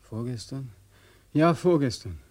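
A man's voice: mid-range voice, animated, measured pace, a little mellow. A male actor playing a bitter, shabby young war veteran in a German radio drama, sardonic, moving between resignation and desperation. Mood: resigned, bitter. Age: young adult. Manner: sardonic, swinging from resigned mutter to desperate outburst.

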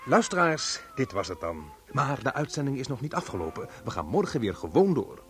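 An actor playing a chummy, unctuous radio reporter doing mock live commentary in a radio drama. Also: male voice, mid-range voice, very animated, brisk, a bit bright. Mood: smug sign-off. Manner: cheerfully unctuous, chatty. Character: smarmy, chummy male radio reporter at a grim spectacle.

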